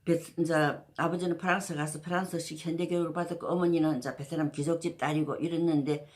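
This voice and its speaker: A woman's voice: low-pitched, level tone, quick pace, darkish tone. A woman, casually telling a history story as a channel host, rambling with many fillers and restarts. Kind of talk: casual spoken history talk.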